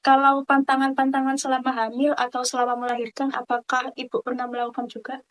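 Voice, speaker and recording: A woman's voice: high voice, completely flat delivery, quick pace, reverberant, soft background music. A woman interviewing a mother, reading polite questions with small hesitations. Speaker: student interviewer.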